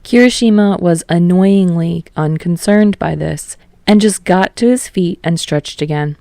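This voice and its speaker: A woman's voice: on the low side, a little slow, creaky, mellow, no echo, studio quality. A woman reading scripted fiction as an audiobook narrator.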